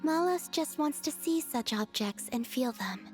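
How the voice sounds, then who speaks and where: A woman voicing a serene, gentle young moon goddess in a video game, speaking softly in a calm, wistful, formal way. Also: sits high, unhurried, faint music behind. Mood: calm, pleased.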